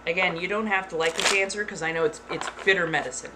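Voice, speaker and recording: A woman streaming live who talks to her chat in a plain, blunt way. She keeps her delivery deliberately monotone and swears now and then without fuss. Steady background noise sits under the voice. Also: lowish voice. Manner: frank, swears casually.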